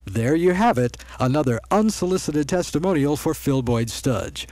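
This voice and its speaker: A man's voice: on the high side, expressive, moderate pace, darkish tone, natural voice. A man playing a confiding announcer in a parody radio coffee commercial. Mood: confiding, promotional. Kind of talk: parody hidden-camera ad voice-over.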